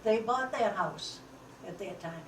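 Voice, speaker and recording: A very elderly American woman in her nineties recalling the past informally, pausing often as she searches for details. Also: mid-range voice, lively intonation. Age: 90 or older. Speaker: interviewee, family elder. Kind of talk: informal oral history recollection. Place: echoey room, away from the microphone. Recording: roomy, distant, light background noise.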